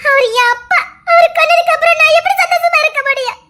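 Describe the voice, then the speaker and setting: An actress playing a young woman who accuses her family through tears, shouting and sobbing in a TV serial drama. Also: very high voice, quick pace. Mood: tearful accusing. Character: young woman, fierce defiant daughter defending her father.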